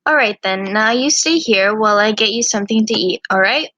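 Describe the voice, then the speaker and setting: A woman's voice: medium pitch, lively intonation, brisk, sharp tone, no echo. A woman playing a caring, insistent, formally spoken girl in a visual novel. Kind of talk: visual novel character acting.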